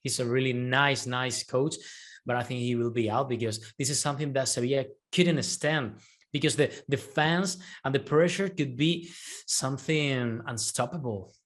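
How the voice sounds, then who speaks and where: Halting, passionate man football pundit. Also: medium pitch. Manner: hesitant, many restarts, animated. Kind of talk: casual football analysis.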